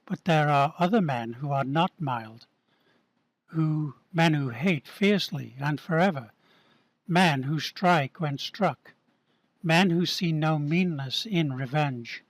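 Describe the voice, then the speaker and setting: A man voicing an earnest male preacher in an amateur radio play, speaking slowly and deliberately. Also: a little high. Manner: slow, deliberate sermon-like delivery. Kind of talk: amateur radio drama reading.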